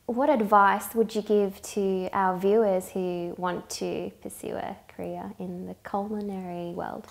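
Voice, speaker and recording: Creaky-voiced woman interviewer. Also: medium pitch, flat delivery, unhurried, few pauses. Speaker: interviewer, journalist. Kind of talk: prepared interview questions.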